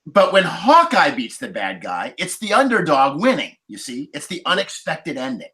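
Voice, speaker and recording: A man's voice: fairly high pitch, wildly swooping pitch, moderate pace, bright, forceful tone. A man talking casually as a podcast panelist about film, explaining his points emphatically.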